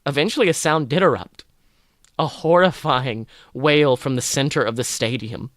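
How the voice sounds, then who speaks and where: Man, bright forceful voice, dramatic story narration, close dry sound. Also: mid-range voice, normal speed, dry recording. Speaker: podcast host reading fan fiction.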